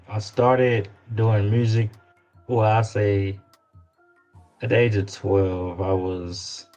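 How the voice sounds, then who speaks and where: A man with a Louisiana accent talks casually as an interview guest in a lowish voice. He speaks haltingly and circles back over his phrases, with music faintly behind him. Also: a little mellow. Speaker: guest rapper. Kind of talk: casual chat.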